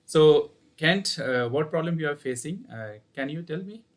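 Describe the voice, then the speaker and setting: A man with an Indian accent hosting a live interview as a voice therapist, speaking in halting, formal phrases. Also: fairly high pitch, lively intonation. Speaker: host, voice therapist. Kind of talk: live video interview, explaining.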